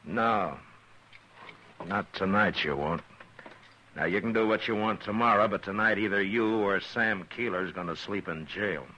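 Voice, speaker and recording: An actor playing a steady, calm frontier marshal who also narrates, in a 1950s radio drama. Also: male voice, poor audio. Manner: deep, calm, measured. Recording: old radio broadcast with faint music.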